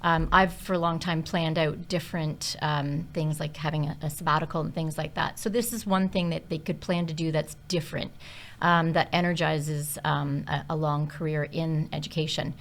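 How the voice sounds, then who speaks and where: A woman's voice: low-pitched, fairly even intonation, normal speed. A middle-aged woman, a hospitality instructor, chatting casually on a podcast with many fillers and small laughs.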